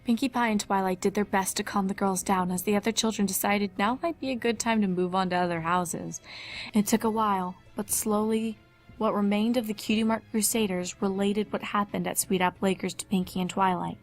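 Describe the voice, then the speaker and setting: A woman's voice: medium pitch, fairly even intonation, brisk, faint music behind. A woman reading fan fiction aloud as scripted story narration.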